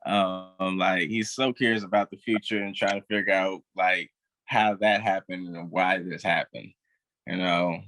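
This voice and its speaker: A young man with a bright, forward voice that sits a little low. He chats casually as an actor being interviewed. The sound is close and dry, with hardly any room in it. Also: fairly even intonation, measured pace, dry recording, rough recording. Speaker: stage actor, interview guest. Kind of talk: casual chat.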